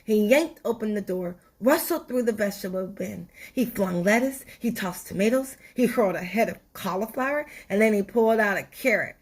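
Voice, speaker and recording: A woman reading a storybook aloud in an expressive children's narration style, with deliberate dramatic pauses. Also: mid-range voice, slightly rough. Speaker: storybook reader.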